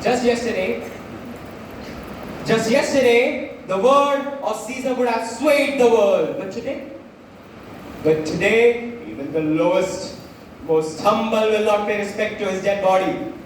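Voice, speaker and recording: A young man playing a grieving, slyly persuasive Roman orator in a school stage play, declaiming with emphatic pauses. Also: sits high. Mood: grieving, rousing. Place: school stage, recorded from audience distance. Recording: echoey hall, distant mic, noisy.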